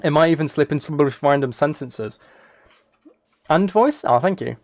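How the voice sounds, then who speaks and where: A man's voice: on the high side, animated, moderate pace, no room sound. A British man streaming a video game, chatting casually in a rambling, self-deprecating and apologetic way. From United Kingdom. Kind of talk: casual livestream chat while gaming.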